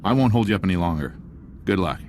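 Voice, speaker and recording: A man's voice: deep voice, animated, fast, noisy background. An actor playing a cheerful male military sergeant in a video game, briefing his officer with upbeat wisecracks. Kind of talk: video game cutscene dialogue.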